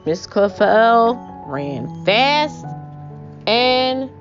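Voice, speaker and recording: Slow storytime lady reading to little kids, really animated, music behind her, bit dull sounding. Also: fairly high pitch. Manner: expressive, measured storybook delivery. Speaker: children's book reader, host. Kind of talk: read-aloud storytelling for young children. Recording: muffled audio with prominent music.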